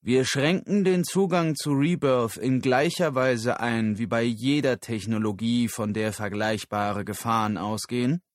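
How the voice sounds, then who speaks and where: A man's voice: mid-range voice, a little slow, few pauses, no echo, studio quality, natural voice. A man voicing a firm male official in formal, emphatic public-speaking delivery, in an audiobook story. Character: firm male official defending strict rules at podium.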